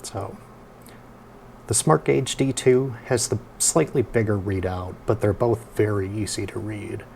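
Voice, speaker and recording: A man with a lowish, creaky voice talks through a casual product demonstration. His tone is mellow and unhurried as he explains each step. There is faint noise behind him. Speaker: product reviewer and host. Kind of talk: casual hands-on product review.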